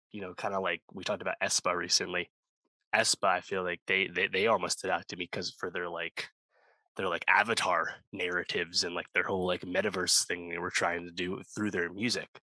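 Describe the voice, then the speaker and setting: A man with a low, bright voice talks through a music review in a loose, rambling way, full of fillers and restarts. He sounds close to the mic in a dry, dead room. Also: dry recording, poor audio. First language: English speaker, not Korean. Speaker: podcast co-host. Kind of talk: casual music review chat.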